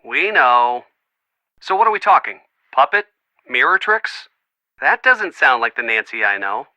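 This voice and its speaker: A man's voice: mid-range voice, sing-song, a little slow, pushed and bright, no echo, exaggerated voice. An actor playing a friendly, earnest young man in a video game, chatty and reassuring as if on a call. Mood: encouraging. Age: young adult. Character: friendly young man, earnest, bookish, supportive boyfriend type. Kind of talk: video game voice acting, phone conversation.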